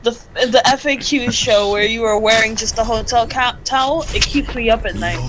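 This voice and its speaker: Girl reading a story out loud on a call, kinda giggly, trips over lines, music playing behind her. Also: on the high side, moderate pace, poor audio. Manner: giggly, stumbles and repeats phrases as she reads. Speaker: friend reading fan fiction aloud in a group gaming call. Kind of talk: amateur read-aloud of fan fiction during casual chat.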